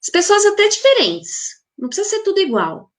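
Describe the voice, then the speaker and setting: A woman's voice: very high voice, wildly swooping pitch, brisk. A woman hosts a spiritual healing group, musing aloud in a live reflective talk and asking listeners many rhetorical questions. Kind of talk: live reflective talk answering viewer comments.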